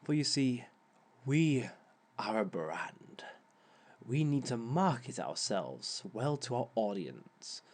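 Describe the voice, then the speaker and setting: A man's voice: medium pitch, sing-song, slow, a little mellow. A man voicing a sly, theatrical male villain who lectures on dating in an audio roleplay, with chuckling asides. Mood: smug. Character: smug male villain giving a dating seminar.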